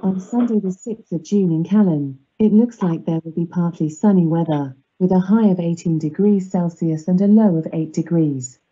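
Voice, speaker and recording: A synthetic woman's voice reading out as a smart speaker assistant, measured and impersonal. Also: fairly low pitch, dark tone, slightly rough. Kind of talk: synthetic forecast readout. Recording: dull smart speaker playback.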